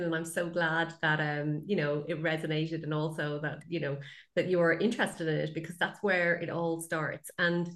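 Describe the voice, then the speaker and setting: A woman speaking as a podcast guest, explaining her practice conversationally with everyday comparisons and examples. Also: low voice.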